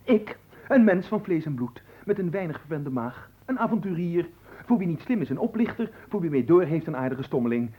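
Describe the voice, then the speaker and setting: A man's voice: fairly high pitch, very animated, fairly quick, breathy edge, phone-quality sound. A man playing a cheeky, gluttonous manservant in a radio play, glib and playful, flirting and talking between mouthfuls. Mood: cocky. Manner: glib, playful, talks while eating and humming. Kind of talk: theatrical radio play acting.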